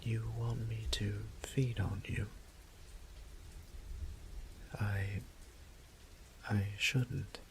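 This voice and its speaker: Man playing a low, breathy, gentle vampire priest. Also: steady tone, takes it slowly, slight background noise. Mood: hesitant, reluctant. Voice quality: breathy, half-whispered. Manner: hesitant, formal old-fashioned wording, tender. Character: gentle, apologetic centuries-old vampire priest, soft-hearted man. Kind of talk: intimate audio roleplay drama.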